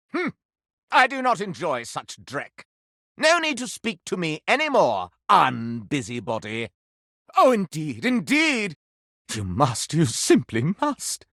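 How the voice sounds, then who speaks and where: A man's voice: a little high, gravelly, pushed and bright, dry recording, studio quality, heavily acted. A man playing a flamboyant living candelabra in a video game, a prudish gossip who speaks in pompous, archaic, melodramatic language, forever scandalized. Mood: haughty, gossipy. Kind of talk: theatrical video game character acting.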